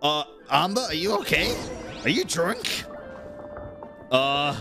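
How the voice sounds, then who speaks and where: Lively male game streamer reacting. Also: fairly high pitch, slow pace. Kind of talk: casual live reaction commentary. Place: indoor streaming room. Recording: game music behind.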